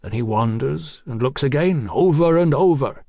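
Male narrator as a drunken gentleman, educated, earnest, brooding, mid-pitched, storybook character, close dry sound. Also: lively intonation, normal speed, vocal fry, no room sound. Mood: intense, rambling.

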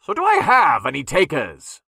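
A portly old circus ringmaster calls out in a bright, forceful voice. His pitch is raised and swings dramatically. He is a theatrical storybook showman who loves a grand pause. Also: huge pitch swings, creaky, slightly rough, no echo, studio quality, full character voice. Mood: showy, booming. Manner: loud, theatrical, drawn-out announcing with dramatic pauses. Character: big-bellied old male circus ringmaster, booming showman.

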